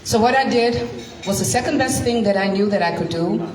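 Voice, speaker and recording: A woman in her thirties, born in Curacao with Dutch as her first language, telling her story to a live audience at a slow, deliberate pace. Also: medium pitch. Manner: slow, deliberate, with frequent pauses. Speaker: guest speaker. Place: event room. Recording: echoey room, distant mic, noisy.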